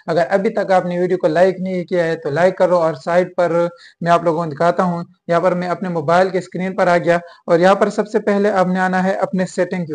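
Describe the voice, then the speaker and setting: A young man hosting a step-by-step phone tutorial, speaking directly to his viewers. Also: high-pitched. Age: young adult. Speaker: tech tutorial host. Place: small indoor room at home.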